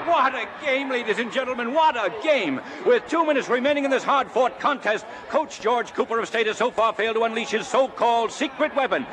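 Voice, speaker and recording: An old radio football announcer, excited, super high voice, crowd noise behind him. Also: male voice, a little fast, few pauses. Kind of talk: old-time sports commentary.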